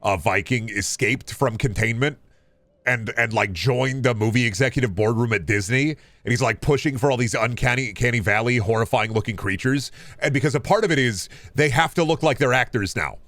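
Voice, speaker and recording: A man with a mid-pitched voice talks casually, as a streamer does to his chat. He rambles along haltingly, circling back and repeating his phrases. The sound is dry, with no room echo. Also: fairly bright tone. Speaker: video game streamer.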